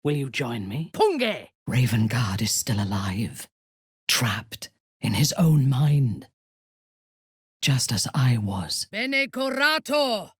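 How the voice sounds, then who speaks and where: A woman playing a proud, ruthless female dark elf warrior in a video game, speaking in a cold, commanding, formally measured manner. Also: very deep voice, wildly swooping pitch, husky, dry recording, clean studio sound, exaggerated voice. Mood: battle incantation. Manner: imperious, measured, formal phrasing.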